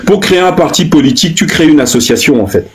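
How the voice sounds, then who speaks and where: A man's voice: a little high, animated. A man in his fifties from Cameroon, host of a live stream, grilling a guest with pointed, repeated questions in a heated debate. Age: 50s. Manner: pointed, hammering questions, drawn-out stresses.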